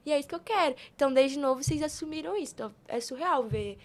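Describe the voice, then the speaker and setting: A young woman talks in a high voice, as a guest in a casual conversation. She is earnest and colloquial, with a motivational bent, and often repeats phrases to drive a point home. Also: animated, racing. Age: young adult. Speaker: podcast guest.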